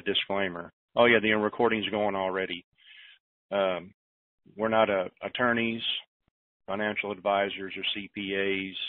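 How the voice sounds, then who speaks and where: A man hosting an informal conference call in a halting way, talking and reading aloud over the telephone. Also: on the low side, no room sound. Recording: thin, narrow phone line.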